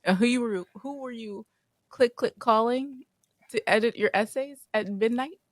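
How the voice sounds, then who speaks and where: A woman's voice: a little high, no room sound. A woman in her twenties co-hosting a podcast, a teacher bantering and teasing in casual chat with her husband. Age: 20s. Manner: playful, teasing banter with quick laughs. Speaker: podcast co-host; public school teacher.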